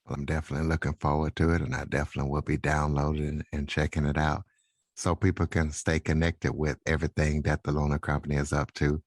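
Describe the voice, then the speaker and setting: A man's voice: rumbling low, completely flat delivery, fast, dry recording, clean studio sound. A man hosting a podcast interview, asking questions and giving sign-off plugs.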